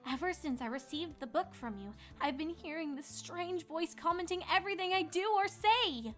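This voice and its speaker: Woman voicing bright, high-pitched anxious young pony heroine. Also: normal speed, soft background music. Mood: hesitant, earnest. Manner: hesitant, earnest, excitable. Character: young female pony princess student, anxious, earnest, easily flustered. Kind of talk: cartoon-style fan fiction dramatization.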